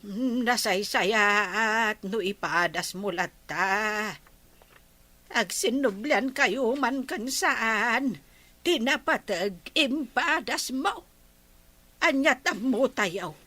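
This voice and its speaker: A woman's voice: on the high side, expressive, drawn-out words, pushed and bright, exaggerated voice. A woman with an Ilocano Filipino accent playing a caring older relative who gives patient advice in a radio drama. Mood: coaxing.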